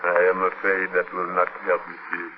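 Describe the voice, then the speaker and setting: A man's voice: sits low, monotone, normal speed, lightly acted. A man playing a grave, formal servant who speaks with ominous deliberation in a 1940s radio horror drama. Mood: grave foreboding. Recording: hissy, muffled 1940s radio recording.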